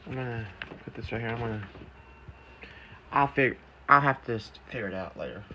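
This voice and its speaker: A man talking himself haltingly through a gadget in a casual, unscripted walkthrough, recorded on a handheld phone. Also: medium pitch, lo-fi sound. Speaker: amateur product demonstrator. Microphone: handheld phone camera. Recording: very noisy handheld recording with button beeps.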